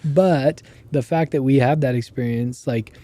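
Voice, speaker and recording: A man hosting a podcast, chatting casually with a hoarse voice that keeps going out and telling stories in an animated way. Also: mid-range voice, unhurried. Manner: animated storyteller who laughs while telling. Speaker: podcast host. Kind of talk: casual interview chat.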